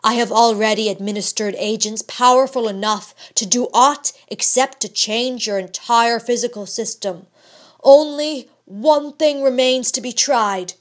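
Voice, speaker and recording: A woman's voice: on the high side, measured pace, lightly acted. A woman reading the part of an intense, proud male scientist, speaking sternly in formal old-fashioned language. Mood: earnest.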